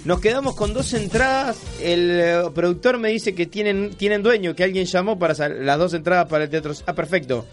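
An Argentine man hosts a casual radio magazine show. His voice sits on the higher side for a man, and faint music plays behind his chatty talk. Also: a little fast.

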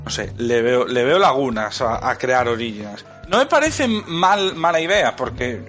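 A young man from co-hosting a casual video game chat, animated and pausing to search for words. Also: mid-range voice, faint music behind. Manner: animated, hesitant mid-sentence searching for words. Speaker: podcast co-host.

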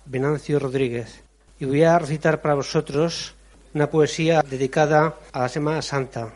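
A man's voice: on the high side, fairly even intonation. A man reciting poetry theatrically, in a dramatic manner, as a performer acting out the verses. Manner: dramatic, slow, imitates drums and trumpet with the voice. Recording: loud music behind the voice.

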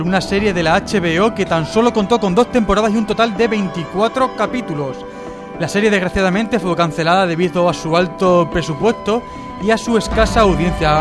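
A man from Spain with a Spanish accent giving a casual, jokey radio review, partly read out and sometimes stumbling. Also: sits high. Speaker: radio show contributor reviewing TV series.

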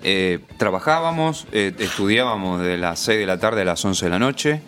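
Low-pitched, halting Argentine man. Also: lively intonation, a bit bright, soft background music. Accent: Argentine, Rioplatense. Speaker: podcast guest. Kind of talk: casual reflective chat.

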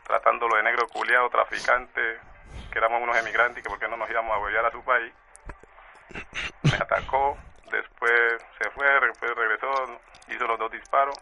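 A man with a gravelly, strongly forceful voice gives interview testimony. His speech is halting, and he breaks off and restarts his phrases. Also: mid-range voice, steady tone, slight background noise, rough recording. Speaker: bereaved father.

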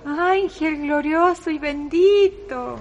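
An actress playing a gentle, resigned, grieving country mother in a radio drama, with a rural Chilean accent. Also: high voice, slow, dull audio. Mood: mournful, grateful.

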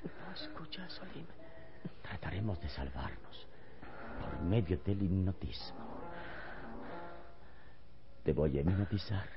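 A calm, commanding male hero of old radio drama. His voice is extremely low and deliberate, with a hypnotic solemnity. Music plays clearly behind the dull recording. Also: heavily acted. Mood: grave, reassuring. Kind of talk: old radio serial acting.

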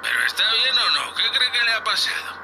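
A man's voice: very high voice, sing-song, fairly quick, bright, forceful tone, faint music behind, full character voice. A man with a Castilian Spanish accent playing a nervous, deferential young spaceship crewman in a sci-fi audio drama. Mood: uneasy. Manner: hesitant, anxious, deferential, full of questions.

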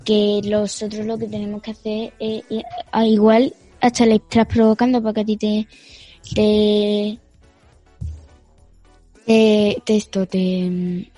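A ten-year-old girl asking an adult prepared questions, often halting and searching for words. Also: mid-range voice, level tone, mellow, dry recording, soft background music. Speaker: child interviewer.

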